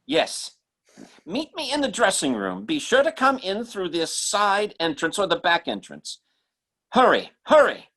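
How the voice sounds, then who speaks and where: A man playing an earnest stage psychic in an old-time radio drama, speaking haltingly. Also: high-pitched, wildly swooping pitch, a bit bright, heavily acted. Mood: urgent. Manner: halting, stammering. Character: earnest male stage psychic turned urgent helper.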